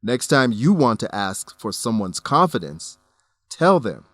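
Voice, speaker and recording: A man hosting an English lesson, reading scripted explanations with careful, deliberate enunciation. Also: medium pitch, very animated, a bit bright, no room sound. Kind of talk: scripted educational narration.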